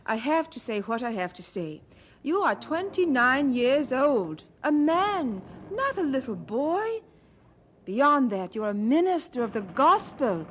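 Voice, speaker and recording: Spirited, animated, high-voiced preacher's wife. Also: female voice, slight background noise, exaggerated voice. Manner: expressive, brisk, old-fashioned diction. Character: spirited, devoted, bossy wife of a preacher, 18th century. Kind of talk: audio drama acting.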